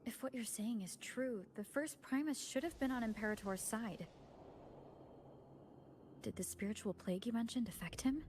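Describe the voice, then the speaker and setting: A woman's voice: a little high, normal speed, a little mellow, a little hiss or hum, natural voice. An actress playing an earnest, inquisitive young woman in a video game, with a serious, probing way of speaking. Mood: curious, serious. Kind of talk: video game dialogue acting. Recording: clear music behind.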